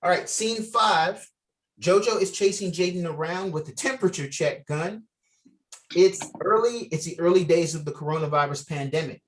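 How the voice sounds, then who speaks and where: Guy with a slightly high voice running a read-through, explaining parts and reading scene directions. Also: normal speed, fairly bright tone, far from the mic, a little hiss or hum. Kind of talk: giving instructions and reading stage directions.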